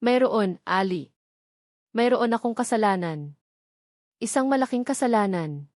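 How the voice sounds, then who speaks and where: A woman's voice: medium pitch, animated, a little mellow, no echo, clean studio sound, close to an everyday voice. Woman voicing a remorseful, pleading young man. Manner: halting, pleading. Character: remorseful young man confessing to his best friend.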